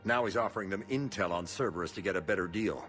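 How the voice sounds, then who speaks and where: An actor playing a bitter, stubborn retired marine captain in a video game, with a terse, gruff delivery. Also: male voice, deep voice, fast, fairly bright tone, soft background music. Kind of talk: video game cutscene dialogue.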